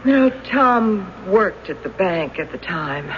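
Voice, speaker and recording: An actress playing a worried, soothing wife in a 1970s radio drama. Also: female voice, lowish voice, lively intonation, slow pace. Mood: troubled. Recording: muffled, hissy 1970s radio recording.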